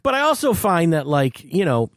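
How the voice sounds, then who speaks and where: A middle-aged man hosts a podcast in a loose, rambling, explanatory way, his pitch bouncing up and down with energy. His voice sits slightly high for a man. It is close-miked and dry in a studio. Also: big pitch swings, moderate pace, dry recording. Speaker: podcast host and comedian. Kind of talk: casual chat. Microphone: studio mic.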